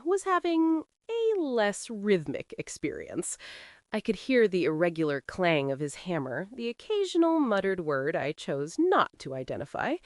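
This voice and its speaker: A woman narrating a first-person western audio drama as a proud, capable frontier ranch woman, with a dry, wry, measured delivery. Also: medium pitch, no room sound.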